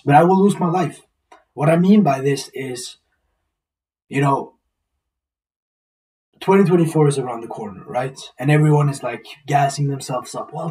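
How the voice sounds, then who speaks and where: A man's voice: mid-range voice, darkish tone, recorded from a distance, poor audio. A man of about twenty talking to camera in a rambling, reflective casual monologue, repeating himself and swearing now and then. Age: 20s. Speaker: vlogger. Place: small plain indoor room.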